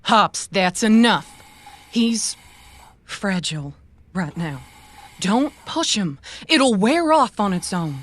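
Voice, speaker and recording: A woman playing a calm, earnest cyberpunk runner in an audio drama, soothing and measured. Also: mid-range voice, slight background noise. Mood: soothing, firm.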